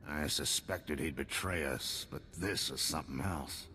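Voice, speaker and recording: An actor playing a pragmatic male supreme commander who gives brisk military orders, in a video game. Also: rumbling low, bright, forceful tone, slight background noise, full character voice. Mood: commanding.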